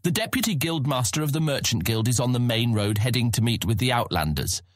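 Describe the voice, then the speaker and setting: A man's voice: mid-range voice, expressive, a little fast, no room sound, studio quality, lightly acted. Man playing an earnest, guarded young fantasy knight. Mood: guarded, formal. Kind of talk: dramatized fantasy audiobook acting.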